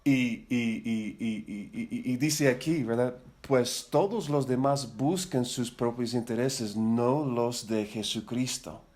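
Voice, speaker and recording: A man's voice: medium pitch, slow, few pauses, darkish tone. A middle-aged man who learned Spanish as a second language, leading a Bible study for church leaders in a casual, rambling way, often repeating words and asking for agreement. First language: not Spanish. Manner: chatty, rambling, with frequent tag questions and repeated words. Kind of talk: casual, conversational teaching.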